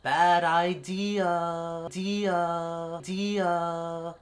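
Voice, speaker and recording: An actress voicing a sulky, sarcastic robot in an audio drama, stuttering and repeating words like a glitch. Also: female voice, fairly low pitch, fairly even intonation, extremely slow, faint music behind. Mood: wary, grumbling. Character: sarcastic, sulky robot built of tin cans and spoons.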